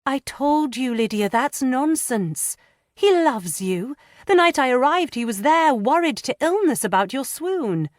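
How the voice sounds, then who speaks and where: A woman's voice: high-pitched, very animated, measured pace, no room sound, studio quality, voice pushed up. A woman voicing a firm but caring young lady in an audiobook, insistent and soothing by turns. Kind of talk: audiobook character voice.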